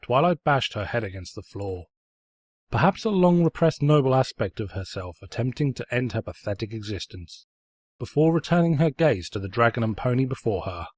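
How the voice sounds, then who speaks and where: A man's voice: medium pitch, sing-song, normal speed, breathy edge, no room sound. A man reading fan fiction aloud as scripted story narration, in a pompous, snide storyteller's manner.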